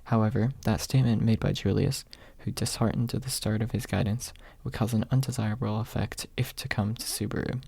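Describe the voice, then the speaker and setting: A man narrating an ASMR audiobook in a hushed, husky voice, close to the microphone. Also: fairly low pitch, steady tone, a little fast, few pauses, dry recording. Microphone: close microphone, dry.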